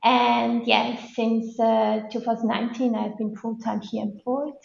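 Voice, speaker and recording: A woman from Bosnia, an assistant professor, talking in a measured, detailed way as a podcast guest. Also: on the high side, flat delivery, gravelly, a little mellow. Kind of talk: podcast interview. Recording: roomy, dull lo-fi audio.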